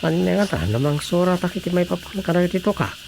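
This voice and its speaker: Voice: mid-range voice, lively intonation, quick pace, creaky, darkish tone, a little hiss or hum. An actor with an Ilocano accent playing a musing, muttering reader in a comic radio drama, rambling with sighs and interjections. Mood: rambling wonder. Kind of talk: radio drama comedy monologue.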